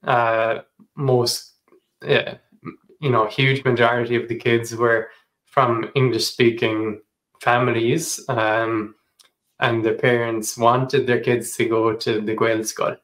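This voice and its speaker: A man's voice: mid-range voice, level tone, slow, a bit bright, poor audio. A man from Ireland with an Irish accent, hosting an interview and asking questions in a casual, searching way with many fillers. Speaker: podcast host. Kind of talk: interview, conversational.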